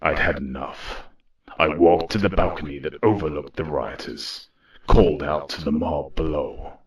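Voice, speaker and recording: A man's voice: a bass voice, moderate pace. A man playing a weary, solemn robot leader who narrates an audio drama in a grave, reflective manner. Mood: regretful.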